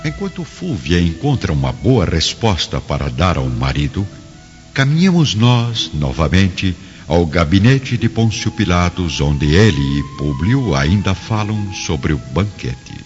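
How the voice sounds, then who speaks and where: A solemn storyteller narrates a radio drama in a very low, dramatic voice. The delivery rises and falls expressively. It rings in long reverb over a prominent music bed. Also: male voice, big pitch swings, a little slow, darkish tone, dull audio. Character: solemn omniscient storyteller guiding listeners between scenes.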